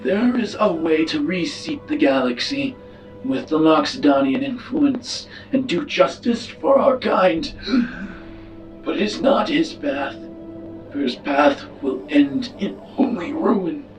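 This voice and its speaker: Grave, guarded alien statesman , male player, formal and unhurried, tabletop sci-fi roleplay; slightly distant, music behind. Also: on the high side, lively intonation. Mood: grave, foreboding. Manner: formal, solemn, measured speech.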